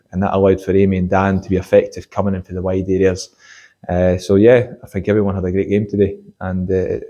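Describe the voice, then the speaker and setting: Young man, mellow low voice. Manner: measured, many fillers and repeated words. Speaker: assistant football manager. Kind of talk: post-match interview. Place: corridor by a sports changing room.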